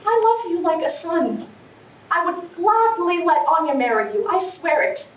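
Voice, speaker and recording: A woman acting a distraught, pleading aristocratic mother in a melodramatic classical stage monologue with dramatic pauses. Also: extremely high pitch, lively intonation. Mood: pleading, wretched. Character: distraught, pleading aristocratic mother losing her beloved home. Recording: distant mic, echoey room, dull, faint noise.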